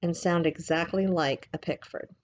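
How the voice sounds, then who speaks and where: A woman reading a book aloud like a teacher, in a gentle, measured, explanatory way. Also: on the low side, steady tone, a little hiss or hum.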